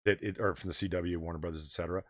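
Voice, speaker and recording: A man hosting a live comics news stream, ranting with a booming voice and plenty of profanity. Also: steady tone, racing, no echo, studio quality. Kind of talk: opinionated live-stream commentary.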